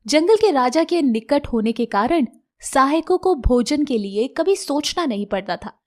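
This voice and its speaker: Female narrator, high register, scripted storytelling for children's fables. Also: animated, unhurried.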